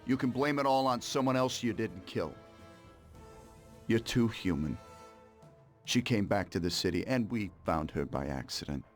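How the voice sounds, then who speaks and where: A man's voice: fairly low pitch, lively intonation, moderate pace, pauses often, faint music behind, close to an everyday voice. An actor playing a smug, cold-blooded mobster in a game cutscene, mocking and sardonic as he menaces an old partner. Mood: cruelly mocking. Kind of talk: dramatic video game cutscene acting.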